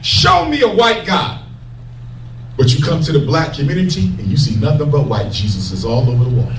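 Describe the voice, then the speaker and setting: A man's voice: sits high, fairly bright tone, slight background noise. Fiery preaching man in an echoey hall. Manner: preaching, emphatic, jokes with the crowd. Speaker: speaker addressing an audience. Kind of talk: fiery public lecture. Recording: echoey hall recorded from a distance.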